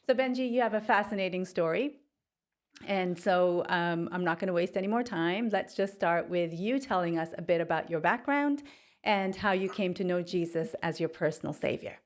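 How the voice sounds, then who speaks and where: A woman's voice: fairly low pitch, moderate pace. A woman in her forties hosting a podcast, chatting in a friendly way and affirming her guest often. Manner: friendly, laughs easily, brief affirmations. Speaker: podcast host. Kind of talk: casual interview chat.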